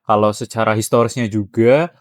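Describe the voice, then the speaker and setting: A young man speaking with a Banyumasan Ngapak accent, his voice sitting somewhat low. He chats loosely and wanders in his talk, mixing slang with Javanese. The sound is dry and close, with no room echo. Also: normal speed. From Cilacap, Central Java. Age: young adult. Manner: relaxed, rambling, mixes slang with Javanese. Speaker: podcast guest, university student. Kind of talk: casual podcast chat.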